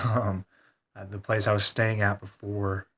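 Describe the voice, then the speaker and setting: A congested man talking hesitantly in a rambling personal video diary, full of pauses and ums. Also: lowish voice, flat delivery, sharp tone. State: congested, stuffed-up nose. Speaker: vlogger.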